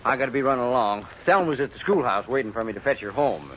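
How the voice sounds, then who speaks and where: An actor playing a touchy, brusque small-town banker in a 1950s radio western. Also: male voice, mid-range voice, animated, fast, a bit bright, a little hiss or hum, close to an everyday voice, rough recording. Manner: brusque, defensive.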